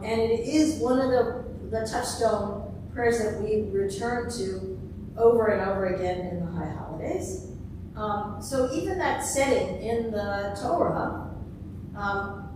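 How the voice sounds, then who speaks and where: Slightly raspy woman preaching. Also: medium pitch, background noise. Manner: thoughtful, with many ums and uhs. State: hoarse from talking. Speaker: rabbi. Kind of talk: sermon. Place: synagogue sanctuary. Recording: echoey sanctuary from far off.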